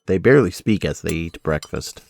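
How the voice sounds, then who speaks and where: A man's voice: deep voice, lively intonation, fairly quick, gravelly, darkish tone. A man reading scripted narration for an audio drama.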